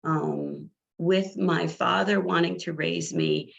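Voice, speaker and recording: Scratchy-voiced woman, halting personal story. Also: fairly low pitch, steady tone, vocal fry. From New Jersey, US. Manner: halting, many fillers and restarts. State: scratchy, tired voice. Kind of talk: personal account. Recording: dull lo-fi audio.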